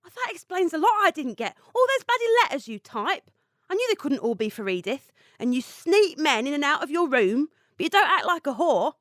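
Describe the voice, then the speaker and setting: An actress in an audio drama playing a brash, hard-drinking, sharp-tongued woman who is blunt and indignant. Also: high voice, big pitch swings, a little fast, dry recording, studio quality. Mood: accusing, defensive.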